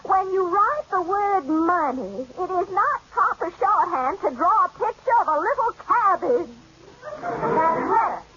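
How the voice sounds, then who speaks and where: A woman's voice: extremely high pitch, big pitch swings, measured pace, heavily acted. An actress in a 1940s radio comedy playing a pompous, bossy woman who runs a business school and lectures her students grandly. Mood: haughty. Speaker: secretarial school teacher. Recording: muffled old radio broadcast, faint hiss.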